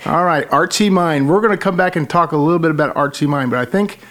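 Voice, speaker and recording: A man's voice: on the high side, fast talker, a bit bright. A man hosting a local business podcast, asking questions in a folksy, friendly way from a studio. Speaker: podcast host. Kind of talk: casual interview.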